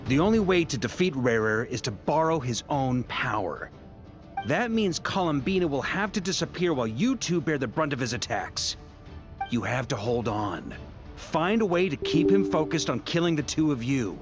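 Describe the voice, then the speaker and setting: Young game strategist, urgent, lightly high voice, animated intonation, music behind. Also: male voice, moderate pace. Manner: urgent, instructive. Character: urgent young male strategist directing his allies. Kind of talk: video game dialogue. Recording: muffled audio over music.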